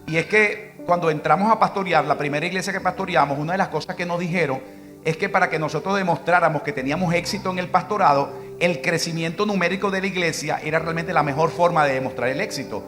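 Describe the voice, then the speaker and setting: Venezuelan pastor guy, middle-aged, talks quick and a bit high, tells stories in a sermon, soft music underneath. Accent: Venezuelan Spanish. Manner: storytelling, quoting his wife in dialogue. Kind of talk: sermon with personal story.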